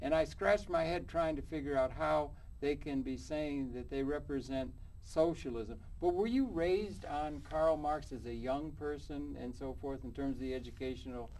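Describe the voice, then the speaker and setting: A man's voice: on the high side, moderate pace, hardly any pauses, darkish tone, slight background noise, poor audio. A man hosting a studio interview show, rambling and searching for words as he introduces his guests. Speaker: talk show host. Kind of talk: public access interview.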